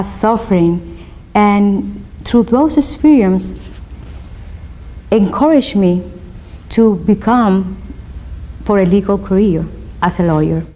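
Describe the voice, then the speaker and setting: A woman's voice: medium pitch, darkish tone. Earnest Spanish-accented woman. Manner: earnest and motivational, halting non-native phrasing. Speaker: law student. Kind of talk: interview, personal testimony.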